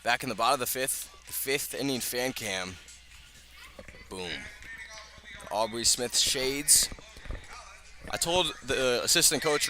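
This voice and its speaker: A man's voice: mid-range voice, pushed and bright. A man calling baseball play-by-play live on radio in a conversational way, with casual asides. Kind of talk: live radio sports commentary. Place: outdoor ballpark. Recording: clear ambient outdoor noise.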